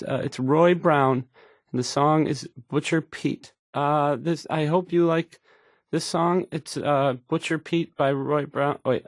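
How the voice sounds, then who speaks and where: A man's voice: on the high side, dry recording, studio quality, heavily acted. An actor playing an awkward, insecure young male radio DJ who stammers and gets flustered introducing songs. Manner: halting, stammering, flustered, self-doubting. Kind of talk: stumbling radio song introductions.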